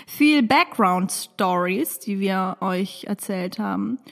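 A woman's voice: mid-range voice, animated, slow pace, creaky, a bit bright. A woman co-hosting a podcast in casual, chatty conversation, often repeating herself. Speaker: podcast co-host. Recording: long reverberant hall sound.

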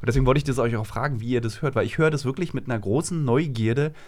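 A man's voice: mid-range voice, quick pace, darkish tone. A man, a journalist guest, talking casually and giving his opinions in a discussion. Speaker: interview guest, journalist and author.

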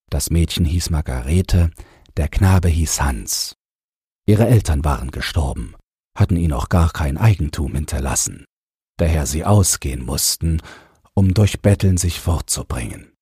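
A man's voice: warm tone, no echo, studio quality. A man narrating a fairy tale from a script, as the storyteller of an audio drama. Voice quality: deep, very husky.

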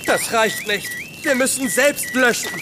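Cheeky high-voiced kid, noisy backdrop. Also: female voice, measured pace. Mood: flustered. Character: careless, carefree child toy figure, cheeky and excitable. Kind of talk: children's audio drama acting.

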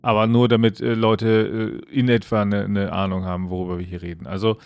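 A man's voice: fairly low pitch, little pitch movement, a little fast, creaky, no echo. A man co-hosting a podcast in casual conversation. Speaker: podcast co-host.

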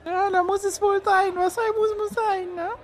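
A man voicing a whiny, hapless orphaned son in a squeaky falsetto for an improvised comedy radio play. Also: flat delivery, moderate pace, a little hiss or hum. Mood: whiny insistence. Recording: music behind the voice.

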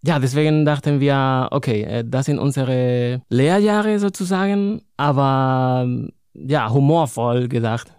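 A man in his thirties from Spain speaks German with a Spanish accent, pausing to search for words and laughing easily. His voice sits in a medium range. The recording is dry and close, with no room sound. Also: mid-range voice, darkish tone, clear, resonant tone, no echo. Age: 30s. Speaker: poet and author guest. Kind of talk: interview, reading own poem.

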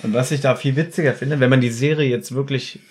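A man's voice: mid-range voice, fairly even intonation, fast talker, a little mellow. A man, hosting a podcast in a casual, chatty style as he recaps and discusses a story. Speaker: podcast host leading an episode discussion. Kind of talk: casual chat, recapping an audio drama episode.

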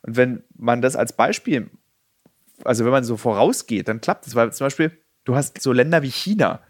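A man's voice: medium pitch, normal speed, no echo. Reflective man chatting in a dry studio. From Berlin. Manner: rambling, reflective, acts out quoted voices. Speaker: podcast host. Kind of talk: casual podcast conversation.

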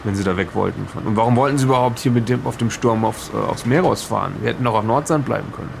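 A man's voice: mid-range voice, quick pace. A man playing a chatty, nosy aging fisherman in an improvised audio drama, rambling and repeating himself. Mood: curious, probing. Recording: clearly noisy background, vehicle-like ambience.

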